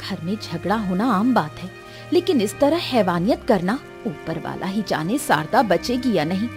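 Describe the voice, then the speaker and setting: A woman plays a village wife and mother in an animated moral tale. The voice is medium in pitch, earnest and gently reproving, with soft music underneath. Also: normal speed, lightly acted. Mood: concerned, disapproving. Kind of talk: animated moral story acting.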